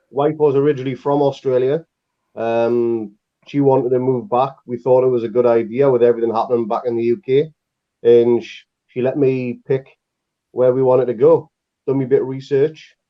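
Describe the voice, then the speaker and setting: A man from northeast England with a Northeast English accent, chatting in a relaxed way with dry humour on a podcast over a video call. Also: mid-range voice, moderate pace, dull audio. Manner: laid-back, dry humour. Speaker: podcast co-host. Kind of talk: casual podcast chat.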